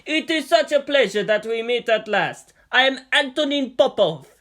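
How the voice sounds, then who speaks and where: A narrator's bright, overly cheerful male diplomat. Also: mid-range voice, expressive, normal speed, pitched above the performer's own voice, lightly acted. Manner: effusive, formal.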